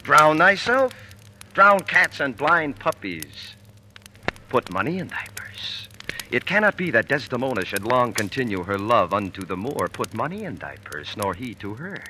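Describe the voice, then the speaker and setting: An actor playing a two-faced military ensign, a sly, insistent villain, in old radio Shakespeare style. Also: male voice, medium pitch, big pitch swings, unhurried, pushed and bright, slight background noise, exaggerated voice. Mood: sly goading. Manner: sly, insistent, theatrical cajoling. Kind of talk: 1940s radio Shakespeare acting. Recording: old radio broadcast with music.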